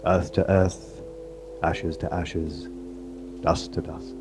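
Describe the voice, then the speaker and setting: Deep-voiced solemn priest. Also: male voice, flat delivery. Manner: solemn, measured. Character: solemn male priest at a graveside. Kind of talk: liturgical recital in comedy radio drama. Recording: loud noise and hum.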